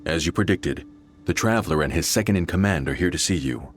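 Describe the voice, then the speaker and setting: A man's voice: sits low, lively intonation, a little fast. A man playing a formal, dutiful military officer in a fantasy video game, speaking with courteous authority. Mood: respectful, businesslike. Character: formal, dutiful male soldier, platoon leader of a fantasy army. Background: game music.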